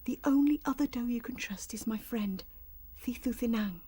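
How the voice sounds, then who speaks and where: Radio drama doe: oppressed but brave, slightly high voice, grave, dignified and measured. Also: female voice, warm tone, husky. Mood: hushed, conspiratorial.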